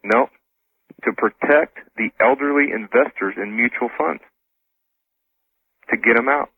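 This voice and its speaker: A man speaking as a radio interview guest, casual and informal, explaining things haltingly. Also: medium pitch, breathy edge, no echo. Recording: tinny phone-like radio broadcast.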